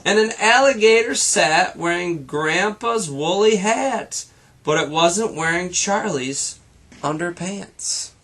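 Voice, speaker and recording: A man's voice: high voice, lively intonation, slow pace, a bit bright. A man reading a children's picture book aloud like a teacher, with rhythmic, dramatic delivery and playful asides.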